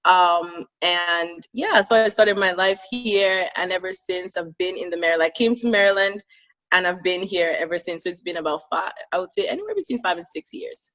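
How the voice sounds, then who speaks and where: A woman's voice: on the low side, expressive, normal speed, fairly bright tone, lo-fi sound. A woman from Cameroon, telling her own story as an interview guest and laughing easily. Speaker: podcast guest.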